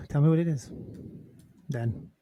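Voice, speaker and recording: A man's voice: on the high side, slow, no echo, highs cut off. A man in his forties co-hosting a podcast in casual chat, joking and swearing freely. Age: 40s. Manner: joking, swears freely, lively shifts of level. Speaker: podcast co-host.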